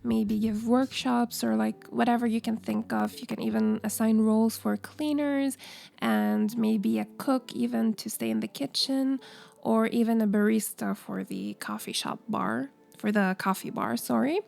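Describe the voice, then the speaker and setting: A hoarse woman, recovering from a cold, casually narrating a game building video in a chatty, rambling way. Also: a little high, sing-song, unhurried, darkish tone. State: hoarse from illness. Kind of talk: casual voiceover.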